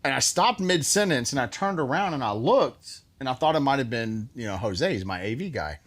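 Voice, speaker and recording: A man hosting a show, telling casual stories and acting out bits of conversation in an animated way. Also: mid-range voice, fairly quick. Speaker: show host. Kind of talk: casual group storytelling.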